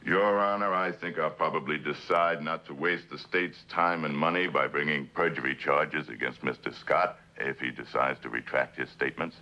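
An actor playing a sardonic courtroom prosecutor in a 1960s television drama, clipped and cross-examining. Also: male voice, extremely low pitch, steady tone, normal speed, few pauses, highs cut off. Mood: coolly formal. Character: forceful sardonic courtroom prosecutor grilling a witness.

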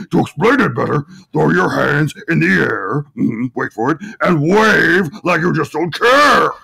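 Gravelly, pompous vampire. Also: male voice, mid-range voice, a bit bright, full character voice. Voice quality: gravelly, raspy. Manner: grandiose, drawn-out delivery. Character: pompous, self-important male Dracula-style vampire entertainer. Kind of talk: theatrical dramatic reading of an open letter.

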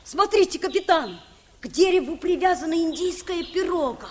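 A woman playing an alert, excitable young seafarer in an old children's radio play. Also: very high-pitched, normal speed. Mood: excited, watchful. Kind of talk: mid-century Soviet radio drama acting. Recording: old radio recording, faint outdoor noise.

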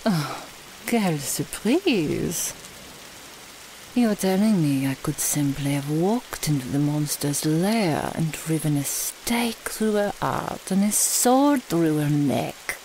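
A woman's voice: sits low, lively intonation, a little mellow, a little hiss or hum, full character voice, low-quality recording. A woman acting an elegant, menacing vampire mistress in an audio roleplay, speaking theatrically with touches of French. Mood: mocking, menacing. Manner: slow, theatrical, sprinkles French phrases.

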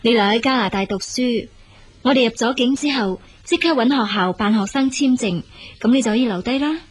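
A firm, practical big sister in a radio drama. Her voice sits fairly high, and she speaks frankly in brisk, reassuring, matter-of-fact Cantonese. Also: breathy edge. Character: practical, dutiful elder sister, married emigrant, firm and frank.

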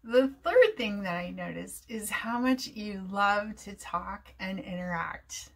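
A woman's voice: medium pitch, expressive, slow. A middle-aged woman from New Jersey with an American accent, an English teacher talking cheerfully and expressively to the camera from a room at home. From New Jersey, USA.

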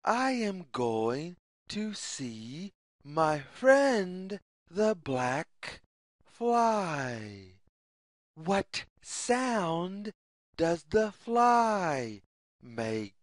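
A woman reading a picture book aloud for young children in a deliberate, sing-song way, in short separated phrases. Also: lowish voice, very animated, no room sound, clean studio sound. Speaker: children's story reader.

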